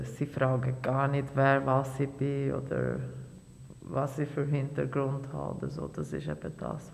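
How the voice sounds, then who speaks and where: A woman in her forties speaking earnestly and haltingly in an interview. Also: extremely low pitch, gravelly, a little mellow, in a large hall. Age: 40s. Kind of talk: casual interview answers.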